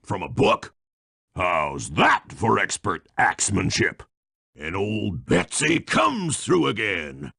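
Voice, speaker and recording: Bullying cartoon lumberjack villain, low raspy voice, swinging intonation, rustic North American drawl, hearty and boastful. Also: male voice, sing-song, takes it slowly, pushed and bright, no echo, studio quality, heavily acted. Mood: mocking, gloating. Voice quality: gravelly, raspy. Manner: folksy, drawling, taunting, swaggering. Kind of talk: cartoon video game villain acting.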